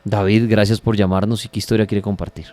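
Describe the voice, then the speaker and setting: A man's voice: lowish voice, little pitch movement, a little fast, darkish tone, dry recording. A Colombian man hosting a live late-night radio call-in show about the paranormal. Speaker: radio host. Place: radio studio. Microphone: studio broadcast microphone. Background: faint music.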